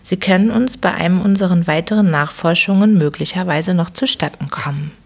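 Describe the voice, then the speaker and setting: A woman voicing a calm, incisive detective who reasons in a measured, dryly ironic way in an audiobook. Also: lowish voice, vocal fry. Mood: deliberate. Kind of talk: audiobook character reading.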